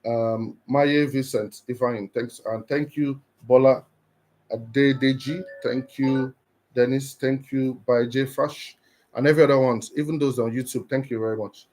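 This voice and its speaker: A man's voice: mid-range voice, fairly even intonation, moderate pace, a little mellow. Earnest motivational man from Nigeria. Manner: earnest, encouraging, often repeats and restarts words. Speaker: live stream host. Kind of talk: motivational talk on a live stream.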